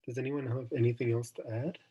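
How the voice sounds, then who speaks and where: A man's voice: mid-range voice, flat delivery, quick pace, noisy background. A young man from Jamaica, hosting a casual online student discussion, thoughtful and meandering with frequent ums and restarts. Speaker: student event host.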